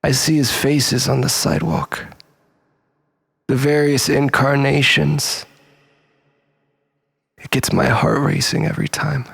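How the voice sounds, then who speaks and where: A man's voice: medium pitch, level tone, slow pace, creaky, pauses often, fairly bright tone, breathy edge, heavily acted. A man playing a mournful, haunted brother in grief, delivering a brooding, poetic scripted monologue. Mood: grieving, wistful.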